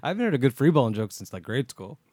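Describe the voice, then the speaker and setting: A man's voice: mid-range voice, very animated, racing, in a large hall, a loud crowd. A man of thirty-eight hosting a podcast, asking teasing questions in casual chat. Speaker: podcast host. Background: music playing.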